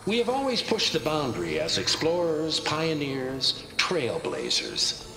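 An echoey, animated male corporate pitchman in a video game recording. He sells a grand vision with polished, persuasive swings of pitch over prominent music. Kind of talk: scripted promotional presentation, video game acting. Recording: echoey projected playback with music.

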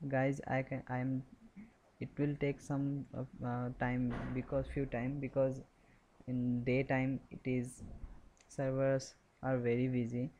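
A man giving an unscripted step-by-step software tutorial in a halting, repetitive, instructional way. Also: medium pitch, monotone, muffled recording, a little hiss or hum.

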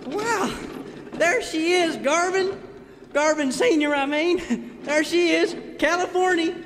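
Very high homespun pioneer mama, radio comedy, big echoey hall. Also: male voice, slow pace, a bit bright, exaggerated voice. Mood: marveling. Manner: homespun, exclamatory. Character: folksy pioneer mama in a covered wagon, falsetto. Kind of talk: old-time radio comedy sketch. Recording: reverberant stage hall, clear background noise.

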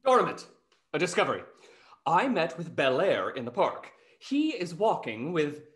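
A young man playing a snarky, gossip-loving gentleman in a period comedy, with a wry theatrical manner. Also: fairly high pitch, big pitch swings, normal speed. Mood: gossipy. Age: young adult. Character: snarky, gossip-loving male gentleman, rake's best friend. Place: indoor room, video call with earphones.

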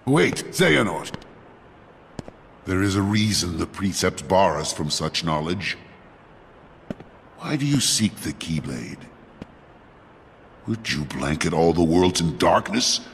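An actor playing a stern, grave master with a solemn, formal manner. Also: male voice, sits low, very animated, takes it slowly, gravelly, pauses often, a little hiss or hum, heavily acted.

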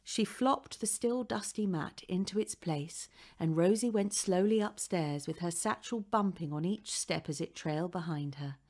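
A woman narrates a children's book. Her voice sits a little low, and she reads in a scripted storytelling style. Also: normal speed, hardly any pauses, a little mellow. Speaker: audiobook narrator.

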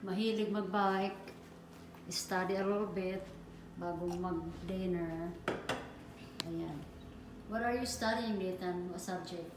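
A woman's voice: fairly low pitch, fairly even intonation, slow, background noise. A mother from the Philippines with a Filipino accent, chatting and asking her son questions as she films a casual home vlog on her phone. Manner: chatty, coaxing questions, mixing languages. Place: porch in heavy rain, then home kitchen. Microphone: phone camera held by the speaker. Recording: roomy sound under loud rain.

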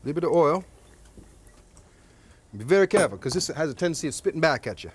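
A man's voice: fairly high pitch, animated, fast, background noise. A man in his thirties hosting a cooking show at an outdoor grill, chatty and joking as he cooks. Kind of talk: cooking demonstration.

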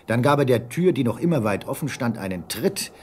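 An actor playing a salty storyteller with a raspy voice, narrating a German radio play dramatically. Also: male voice, medium pitch, expressive, brisk, heavy background noise, natural voice. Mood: atmospheric. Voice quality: husky, raspy. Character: salty storyteller spinning a sea yarn.

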